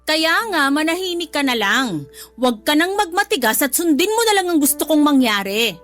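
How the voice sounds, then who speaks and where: A woman's voice: sits high, sing-song, moderate pace, a bit bright, soft background music. An actress playing a cruel, haughty stepmother in a radio drama, scolding and bossy. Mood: threatening. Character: cruel, domineering live-in stepmother, spiteful and haughty. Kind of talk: Tagalog radio drama acting.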